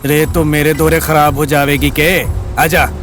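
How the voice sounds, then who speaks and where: A man's voice: a little high, flat delivery, unhurried, fairly bright tone, faint music behind, pitched above the performer's own voice. A man voicing a bossy, disapproving rustic elder with a Haryanvi accent in a Hindi audio drama. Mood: gruff, commanding. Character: bossy, disapproving rustic old father-in-law.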